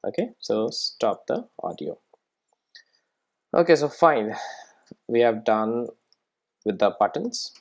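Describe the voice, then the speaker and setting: A software tutorial presenter explaining things step by step in an informal way, often checking in with the listener. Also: medium pitch, very animated, slow, vocal fry, long pauses.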